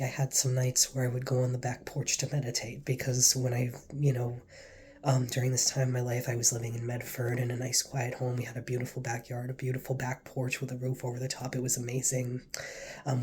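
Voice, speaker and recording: A man with a cold and a stuffy nose, telling his faith testimony in a casual, earnest, rambling way, now and then dropping to a soft whisper. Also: mid-range voice, completely flat delivery, a little fast. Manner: earnest, rambling, heavy with fillers. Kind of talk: casual spoken testimony to camera.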